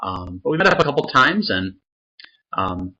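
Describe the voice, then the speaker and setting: A man's voice: lowish voice, very animated, normal speed, sharp tone, highs cut off. A man co-hosting a casual podcast in a laid-back way, laughing often and giving short replies. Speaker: podcast co-host. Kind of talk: casual chat.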